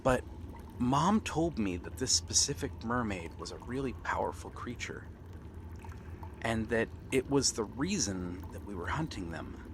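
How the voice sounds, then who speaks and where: A man acting a friendly, eager young pirate prince in an earnest, gentle ASMR roleplay with pauses. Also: medium pitch, animated, background noise, close to an everyday voice. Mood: musing, tender. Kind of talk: ASMR roleplay acting, one-sided dialogue. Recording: water and ambient sound effects behind. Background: seaside ambience, water sounds.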